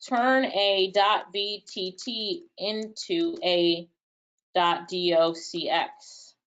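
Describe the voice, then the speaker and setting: A woman slowly teaching a live webinar. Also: mid-range voice, steady tone, a bit bright, highs cut off. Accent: Jamaican. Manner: casual, chatty, thinks aloud, laughs lightly. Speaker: webinar presenter. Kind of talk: live online workshop, answering chat questions.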